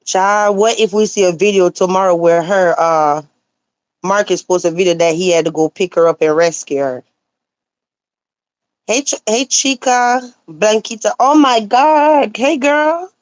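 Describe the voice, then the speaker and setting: A woman livestreaming casual commentary, animated and sassy, answering viewer comments, her voice a little hoarse. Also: mid-range voice, unhurried, dry recording. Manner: animated, sassy, talks back to chat comments. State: slightly hoarse. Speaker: livestreamer reading chat.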